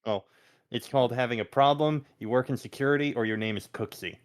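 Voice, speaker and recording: A man's voice: medium pitch, fairly quick. A man in casual group chat on a stream, with a jokey, self-deprecating manner.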